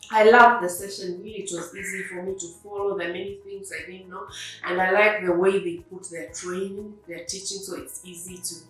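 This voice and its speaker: Distant-sounding woman in an echoing room. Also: lowish voice. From Tanzania. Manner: unhurried, with many fillers. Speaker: pediatric doctor, course participant. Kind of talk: testimonial.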